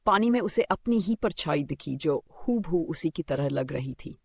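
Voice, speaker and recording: Male narrator for kids' moral tales: higher-pitched, strong vocal fry, scripted storytelling delivery. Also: lively intonation, a little slow.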